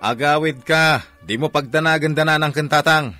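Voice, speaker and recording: An actor playing a stern, bossy older brother in a radio drama, insistent and reproachful. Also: male voice, on the high side, moderate pace, sharp tone, soft background music. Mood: angry. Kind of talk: Filipino radio drama acting.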